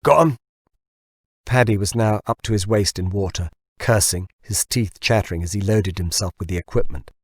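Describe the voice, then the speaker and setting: Male audiobook narrator needed: low, husky voice, scripted fiction read in a very dry setting. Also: fairly even intonation, a little fast, few pauses, no room sound.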